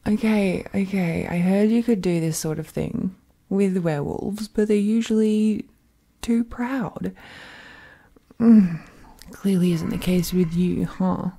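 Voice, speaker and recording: Woman acting a breathy, very mellow witch girlfriend. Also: mid-range voice, slow pace. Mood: playful, doting. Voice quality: soft, breathy, often whispering. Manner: tender, playful cooing. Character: affectionate, teasing witch girlfriend soothing her werewolf partner. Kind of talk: ASMR roleplay, intimate close-up acting.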